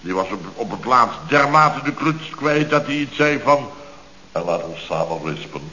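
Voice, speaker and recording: An actor playing a blustering, self-pitying middle-aged building contractor in a Dutch radio comedy, talking in exasperated, halting bursts. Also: male voice, medium pitch, lively intonation, a little hiss or hum. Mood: indignant. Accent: Dutch from the Netherlands. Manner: forceful, halting, exasperated storytelling in short bursts. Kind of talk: 1970s radio comedy acting. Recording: muffled old radio recording.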